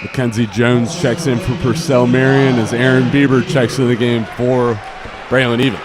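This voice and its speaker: A man's voice: medium pitch, little pitch movement, moderate pace, loud music behind the voice. A young student man doing live basketball play-by-play in clipped phrases that follow each action. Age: teenager or young adult student. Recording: noisy gym, bouncing balls behind. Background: gym ambience and basketball bounces.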